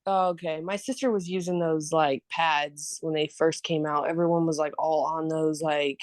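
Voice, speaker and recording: A woman's voice: sits low, steady tone, moderate pace, no room sound. A woman in her thirties, a podcast guest chatting casually and laughing often as she tells stories. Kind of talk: casual chat.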